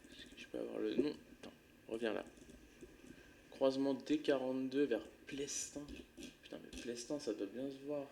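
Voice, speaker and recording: A man's voice: mid-range voice, moderate pace, gravelly, warm tone, a little hiss or hum. A man commentating his own play of a geography game live, thinking aloud in a casual, muttering way with some swearing.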